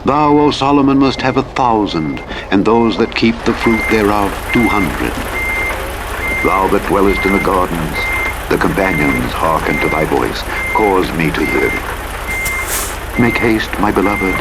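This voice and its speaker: A man narrating the Bible in a measured, solemn scripted reading. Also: sits low, lively intonation. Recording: clear vehicle and road noise throughout. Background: truck engine and road sounds from a driving game.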